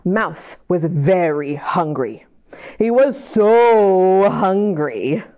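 A middle-aged American woman hosting a library storytime, reading aloud to young children in an expressive, sing-song way and drawing them in with questions. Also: on the low side, very slow, slight background noise. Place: indoor library children's area. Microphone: lapel mic.

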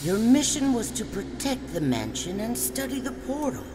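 A woman plays a stern otherworldly elder of a fantasy puppet film. The delivery is solemn, reproving and lively in pitch, ringing in a long reverb over music. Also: moderate pace, background noise. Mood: stern reproach. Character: stern, grave otherworldly elder scolding failed guardians.

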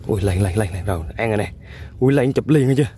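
A man with a Vietnamese accent hosting an outdoor eel-fishing vlog by a riverbank. He gives running commentary to viewers while he works, with excited exclamations. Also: fairly low pitch, quick pace, slight background noise. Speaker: eel-fishing channel host.

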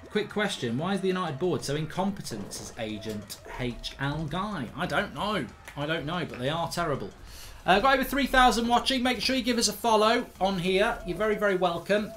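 Hoarse, sweary man streaming a game. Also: high-pitched, animated, normal speed, a bit bright. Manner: blunt, sweary, rambling banter. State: hoarse, losing his voice. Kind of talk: live gameplay commentary. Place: indoor room at a desk.

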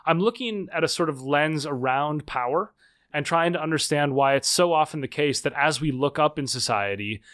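A man's voice: on the high side, normal speed, fairly bright tone, no room sound. Earnest man, dry close sound. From United States. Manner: articulate, earnest, frequent "uh" and "you know". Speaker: author and political scientist guest. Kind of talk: interview answers.

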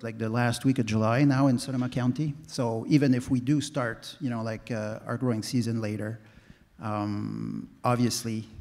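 Filler-heavy man on a reverberant stage. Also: medium pitch. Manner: animated, heavy "you know, like" fillers. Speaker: panelist, head winemaker. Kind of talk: panel discussion. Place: stage in a large hall. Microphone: handheld mic. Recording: long hall reverb.

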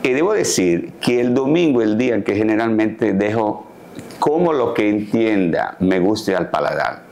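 A man in his sixties, a tailor, calmly explaining his daily routine in a sit-down interview, recorded on a lapel mic in a living room set. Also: lowish voice, normal speed, vocal fry. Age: 60s. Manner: calm, explanatory, gestures while talking. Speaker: guest, tailor. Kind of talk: casual interview talk.

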